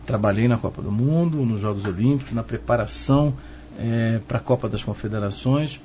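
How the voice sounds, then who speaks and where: A dull, slightly noisy recording carries a middle-aged man with a Carioca accent. He speaks like a professor being interviewed, explaining step by step in a measured way and often seeking a nod of assent. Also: warm tone. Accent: Carioca Brazilian Portuguese. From Rio de Janeiro, Brazil. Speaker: interviewed expert, professor of security. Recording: muffled low-fi sound, noticeable background noise.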